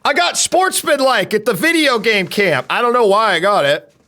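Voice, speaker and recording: A loud, brash young man with a deep voice, chatting on a live stream. His pitch leaps and drops all the time, from near-shouts down to low mutters. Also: lively intonation, normal speed. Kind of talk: casual live-stream chat.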